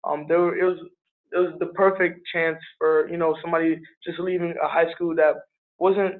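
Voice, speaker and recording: A man of about twenty, giving casual, halting answers as a student panelist. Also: on the high side, little pitch movement, phone-quality sound. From born in Liberia, moved to Harlem, New York. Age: around 20.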